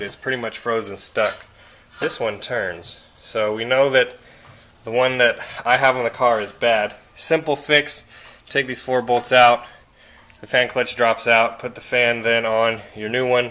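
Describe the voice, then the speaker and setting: A man casually explaining step by step how he takes apart a car's cooling system, recorded outdoors on a handheld camera while he works. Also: medium pitch. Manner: relaxed, explains step by step, asks viewers questions. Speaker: car restoration vlogger. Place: outdoor driveway by a car engine.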